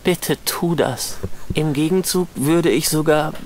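Gloomy, deadpan cartoon pessimist. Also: male voice, a little high, takes it slowly, a little hiss or hum. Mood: sarcastic, resigned. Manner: deadpan, sardonic. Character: gloomy, sarcastic, self-pitying male pessimist. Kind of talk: cartoon adventure game acting.

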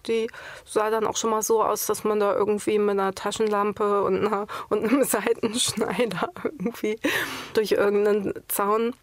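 A woman's voice: mid-range voice, no echo. A woman casually reminiscing in an interview, telling stories in a chatty, colloquial way. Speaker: club scene witness.

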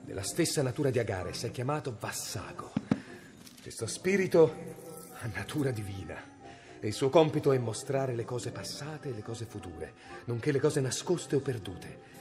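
An actor playing a stern medieval male inquisitor in a radio drama, measured and brooding as he reads from an old book. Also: mid-range voice, expressive, over music, natural voice.

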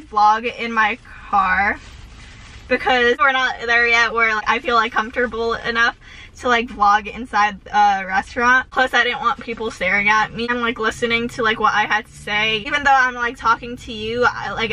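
Young woman, bubbly filler-heavy car vlog, bright, slightly high voice, recorded on a dashboard camera. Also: slight background noise, poor audio. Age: young adult. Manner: bubbly, says "like" constantly, giggly. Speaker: vlogger. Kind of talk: casual chatty vlog with eating. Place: inside a parked car. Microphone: camera on dashboard facing her.

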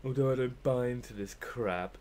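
A man voicing a stern, skeptical young visual novel character who gives advice in a measured, admonishing way. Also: medium pitch, a little mellow, a little hiss or hum, natural voice. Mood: stern, dismissive.

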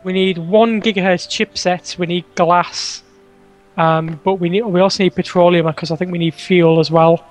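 A man co-hosting a game stream in casual chat, teasing and quick to giggle while he plans out loud, on a headset mic. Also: high voice, steady tone. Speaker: co-host. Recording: faint game music behind.

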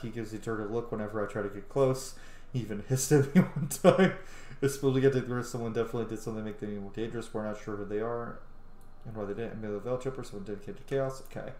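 A man in his thirties doing informal, sweary game commentary, thinking out loud and reading text aloud. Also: medium pitch. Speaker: gaming video host. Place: small room at home, indoors. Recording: roomy, echoey home recording.

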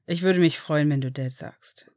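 A woman voicing a gentle, protective grown man, speaking softly and slowly in a hushed, soothing way. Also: deep voice, no echo, studio quality, pitched below the performer's own voice. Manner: soft, soothing, slow and hushed. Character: gentle protective adult male father figure. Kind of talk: audiobook character voice.

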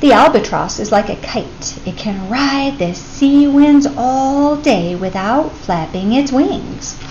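A woman reading a children's picture book aloud in an expressive teacher's manner, addressing young listeners. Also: high voice, slow pace, dull audio. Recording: clear background noise.

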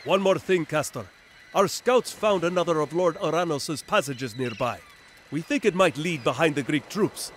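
A man's voice: fairly high pitch, expressive, moderate pace, a little hiss or hum, lightly acted. An actor playing a sly, treacherous lieutenant in a video game, smug and scheming in his delivery. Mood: sly, obliging. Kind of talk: dramatic video game voice acting.

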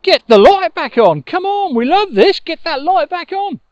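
Man voicing squabbling, high-pitched excitable swans. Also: moderate pace, dry recording, lo-fi sound. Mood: excited, demanding. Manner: squabbling, urgent. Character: excitable, demanding flock of swans.

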